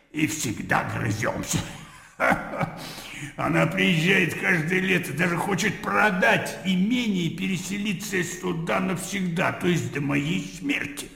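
An actor playing a gruff, talkative old retired colonel in a radio drama, with a booming gravelly voice and a blustery, rambling manner. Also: male voice, animated, slow pace, bright, forceful tone, exaggerated voice. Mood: reminiscing, scoffing. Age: older. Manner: blustery, rambling, punctuated with interjections. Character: gruff, garrulous old retired army colonel, sardonic father. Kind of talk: theatrical radio drama acting. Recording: echoey hall with long reverb, music behind.

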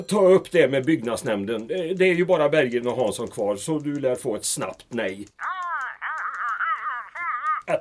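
A male actor plays a harried municipal official in a comic radio play. His voice is fairly high for a man. He talks in dry, formal phrases, with an ironic edge and some hesitancy. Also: darkish tone, lightly acted. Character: harried, dryly ironic male town official, deferential to occupiers. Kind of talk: comedic audio drama acting.

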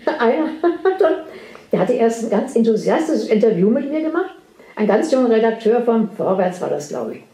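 An elderly woman with a fairly high, very mellow voice reminisces in casual conversation. She tells her anecdotes in an animated way. The room echoes a little around her. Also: moderate pace. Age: 80s or older. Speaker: poet recalling her career. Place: indoors in a room. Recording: roomy echo with music.

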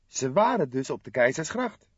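Man acting a young police detective, audiobook character, raised pitch, excitable, indignant, questioning, dry sound. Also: normal speed, husky, no echo, muffled recording. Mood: surprised, questioning. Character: agitated, eager young male police detective.

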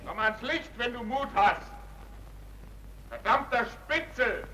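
A high, strident, belligerent man. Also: slow pace, slight background noise, exaggerated voice, poor audio. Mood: taunting, angry. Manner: loud, taunting, belligerent. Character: agitated man shouting challenges at a lurker in the dark. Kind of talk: radio crime drama acting.

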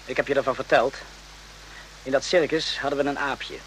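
An actor playing a calm, reflective companion in a radio play, talking in a measured, plain and soothing way. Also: male voice, medium pitch, close to an everyday voice. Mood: reflective, earnest. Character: calm, reflective male companion, gently philosophical storyteller. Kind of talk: Dutch radio play acting. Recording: slightly noisy old radio recording.

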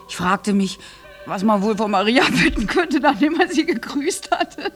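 An actress playing a bitter, war-scarred orphaned young woman in a radio play monologue, halting and intense. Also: fairly high pitch, sing-song. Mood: agitated, anguished. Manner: halting, intense, dropping into breathy whispers. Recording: music behind the voice. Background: music.

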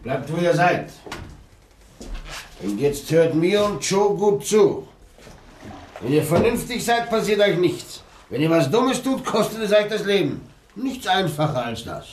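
Brief: a courteous-sounding radio villain with a slightly high voice, recorded in an echoey room. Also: male voice, sing-song, unhurried, pauses often, a little hiss or hum. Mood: threatening. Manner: smooth mock-courtesy over threats. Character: cold, falsely polite male gangster. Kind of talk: 1960s German radio crime drama.

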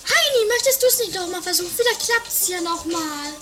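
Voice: extremely high pitch, lively intonation, fairly quick, over loud music. A performer playing a childish, whiny, mocking woman with a teasing drawl in an improvised comedy audio drama.

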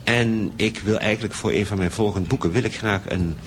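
A man's voice: on the low side, brisk, a little hiss or hum, natural voice. A man playing an insistent, wry thriller writer on a train, in a radio play, sometimes stammering repeats. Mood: scheming.

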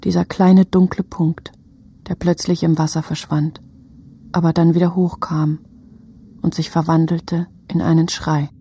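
A sober woman narrator in a wartime radio play. Her voice is mellow and somewhat low, and she speaks in clipped, literary fragments over faint noise. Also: level tone, a little slow. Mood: sober, reflective. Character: sober woman narrator observing a city at war.

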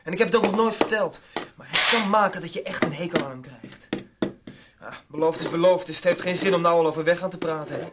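A man's voice: on the high side, fast talker, close to an everyday voice. Een man die in een hoorspel een koppige, piekerende, eenvoudige klusjesman speelt, verdedigend en omstandig pratend. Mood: defensive. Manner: rambling, defensive. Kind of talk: Dutch radio play acting. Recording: very noisy old radio recording.

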